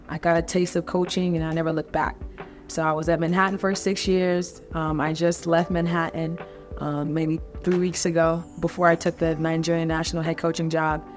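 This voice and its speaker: A woman in her thirties from North Carolina, a basketball coach, telling her life story in a relaxed way with many ums. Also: lowish voice. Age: 30s. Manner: relaxed, conversational with frequent ums. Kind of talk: personal story told to camera.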